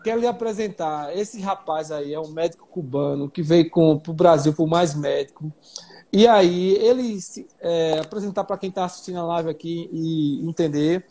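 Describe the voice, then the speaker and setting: A man's voice: fairly high pitch, moderate pace. A man hosts a live stream, introducing guests and putting questions to them with eager energy. His voice sits well above the usual male range. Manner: enthusiastic, animated introductions. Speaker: livestream host. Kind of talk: live interview hosting.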